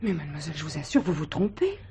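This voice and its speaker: A quick, earnest commissioner's wife. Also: female voice, low voice, wildly swooping pitch, dark tone, slightly rough, exaggerated voice. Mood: bewildered, protesting. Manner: polite, pleading, insistent. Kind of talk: French stage or TV play acting.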